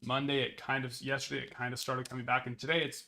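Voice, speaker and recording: Chatty man, bright slightly hoarse voice. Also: flat delivery, fast, a little hiss or hum. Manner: chatty, rambling, upbeat sales pitches. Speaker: sports card break host. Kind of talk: casual livestream chat with viewers.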